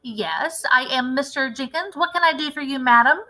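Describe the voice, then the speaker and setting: Lady doing a big coarse pompous bloke from a storybook, bright forceful voice, bossy and impatient. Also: sits high, moderate pace. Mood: gruff, dismissive. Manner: brusque, pompous, demanding. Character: very large, coarse, blustering, impatient man. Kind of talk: storybook read-aloud character voice.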